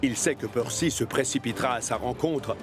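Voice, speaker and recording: A man's voice: mid-range voice, brisk, over music, close to an everyday voice. A man acting as the storybook narrator of a children's cartoon, reading scripted narration. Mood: storytelling.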